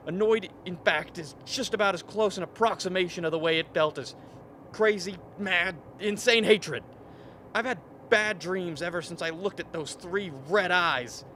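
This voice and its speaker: An overwrought scientist in a radio drama , played by a man, with a fairly high voice. He is haunted and vehement, hammering on repeated words. Faint noise sits behind him. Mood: fearful, agitated. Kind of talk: dramatic radio play acting. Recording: faint steady background noise.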